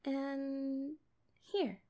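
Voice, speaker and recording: Woman acting as a slow-talking, high-voiced young mermaid. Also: flat delivery, warm tone, heavily acted. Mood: shy, fond. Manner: casual, chatty, hesitant pauses. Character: kind, curious, guarded young female mermaid. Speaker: ASMR roleplay performer. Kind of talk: ASMR roleplay, conversational.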